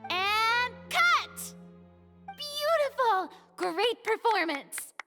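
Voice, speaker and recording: A woman's voice: extremely high pitch, very animated, drawn-out words, bright, forceful tone, big-room sound, soft background music, full character voice. A woman playing a flamboyant, exacting young film director in a video game, theatrical and commanding. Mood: delighted. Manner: theatrical, commanding, crisp calls to cast and crew. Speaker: film director giving orders on set. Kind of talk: scripted video game voice acting.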